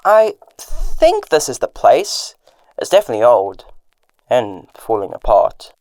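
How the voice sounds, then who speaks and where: A woman playing an earnest investigator hero in a radio drama, with a deliberate delivery. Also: extremely low pitch, wildly swooping pitch, darkish tone, no echo, full character voice. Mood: wary.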